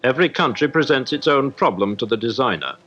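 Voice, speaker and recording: A man's voice: mid-range voice, lively intonation, fast talker, sharp tone. A man with a British accent giving a formal, explanatory scripted report as a 1950s newsreel correspondent. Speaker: industrial correspondent. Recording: dull 1950s newsreel soundtrack, music behind. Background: music.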